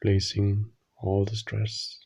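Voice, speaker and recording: Guy doing a guided meditation, really mellow dark voice, super slow with long gaps, gentle short instructions. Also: on the low side, monotone, creaky, husky, dry recording.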